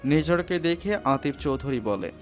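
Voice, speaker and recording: A man's voice: on the high side, animated, normal speed. A man narrating a scripted romantic story, with music playing underneath.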